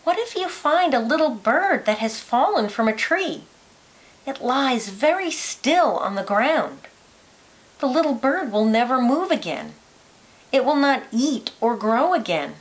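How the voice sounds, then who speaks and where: A woman with a fairly high voice reads a children's science book aloud. Her delivery is slow and careful, with lots of rise and fall in the melody so young listeners can follow each phrase. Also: sing-song, poor audio.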